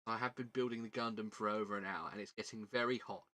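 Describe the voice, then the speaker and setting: A man's voice: on the low side, steady tone, fast, a bit bright. A man with a southern British English accent chatting casually as a livestream host, rambling and self-deprecating, often repeating his words. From southern England. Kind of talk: casual livestream chat while building a model kit.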